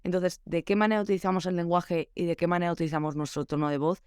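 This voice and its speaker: A woman's voice: lowish voice, steady tone, quick pace, darkish tone, clean tone, no echo, clean studio sound. A young adult woman hosting a solo podcast for teachers, chatty and reflective, giving practical advice.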